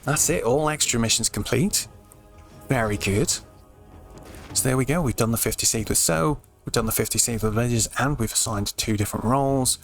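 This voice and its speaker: A British man giving laid-back, explanatory let's play commentary in an informal way, his voice slightly croaky. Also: mid-range voice, fairly quick, faint music behind. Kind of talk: casual game commentary.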